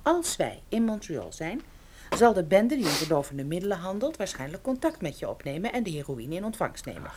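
A woman playing a detective's level-headed female assistant in a Dutch radio play, speaking in a calm, firm and reassuring way. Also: lowish voice, brisk, background noise. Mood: firm, matter-of-fact.

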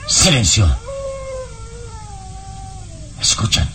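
An actor playing a calm heroic adventurer in an old radio drama, speaking with authority and deliberate emphasis. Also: male voice. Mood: commanding. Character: calm heroic male adventurer, commanding and wise.

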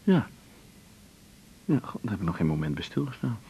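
An actor playing a calm, practical, supportive husband in a radio drama, measured and considerate. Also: male voice, fairly low pitch, husky, exaggerated voice. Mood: thoughtful. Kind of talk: naturalistic radio drama conversation. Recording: muffled, noisy radio recording.

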